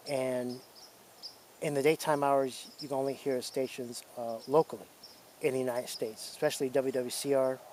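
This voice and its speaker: A man's voice: medium pitch, fairly even intonation, moderate pace, darkish tone, slight background noise. A man presenting as a radio hobbyist, giving casual hands-on demonstration commentary.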